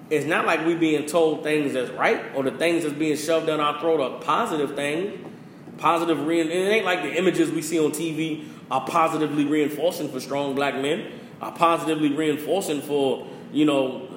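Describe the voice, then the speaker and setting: A man who rants passionately as a podcast host, preachy and full of profanity. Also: on the high side. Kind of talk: casual opinion rant. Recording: echoey room with faint hiss.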